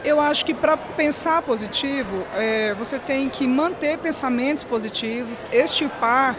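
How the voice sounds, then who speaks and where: A Brazilian woman speaks in a fairly high voice, answering a TV interview. Her phrases come haltingly, with pauses in the middle. A handheld mic picks her up in a large hall with clear background noise. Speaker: guest speaker being interviewed. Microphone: handheld reporter microphone.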